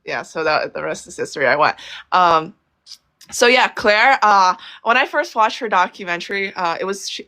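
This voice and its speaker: A woman's voice: lowish voice, pushed and bright. A young woman from Brazil, a guest in a casual interview, talking effusively with many fillers and restarts.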